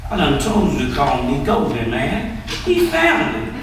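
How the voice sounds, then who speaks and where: Man playing brash animated hustler, echoey room. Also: fairly high pitch, moderate pace. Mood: swaggering. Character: loud, brash, slangy hustler brother nicknamed Golden. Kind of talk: table read acting. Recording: echoey noisy room, far from mic.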